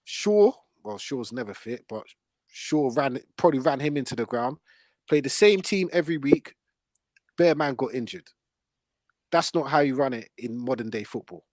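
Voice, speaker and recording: A man's voice: mid-range voice, expressive, normal speed, a little mellow, no room sound. A man hosting casual football debate, emphatic and slangy, repeating himself for stress. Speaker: football podcast host.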